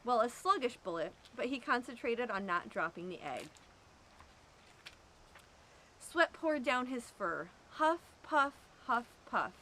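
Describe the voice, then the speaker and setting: Lady reading a kids book out loud, really lively pitch, bit of noise behind her. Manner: expressive, drawn-out storytelling with emphasis. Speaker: storyteller at an animal sanctuary. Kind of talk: reading a children's picture book aloud.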